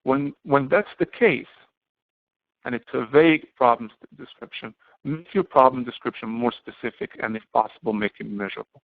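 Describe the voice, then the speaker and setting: A man giving an explanatory lecture to students as an instructor, speaking haltingly and often posing questions that he then answers himself. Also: mid-range voice, creaky, no room sound. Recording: muffled, band-limited, phone-like audio.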